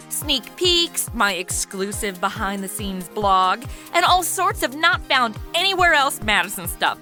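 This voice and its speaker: Bright, high-voiced woman hosting a podcast. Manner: peppy, informal. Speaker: podcast host. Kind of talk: scripted chatty podcast narration and promo.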